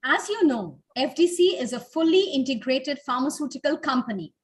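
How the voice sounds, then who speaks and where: High-pitched woman, formal webinar host. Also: lively intonation, moderate pace. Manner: formal, ceremonious. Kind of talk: formal scripted introduction.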